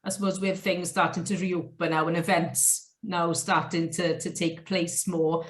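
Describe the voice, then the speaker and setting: A woman interviews a guest over a video call from an echoey room. She asks long, rambling questions and responds warmly and encouragingly. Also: fairly low pitch, flat delivery, moderate pace. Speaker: interviewer, host of the virtual fair. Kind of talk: online interview.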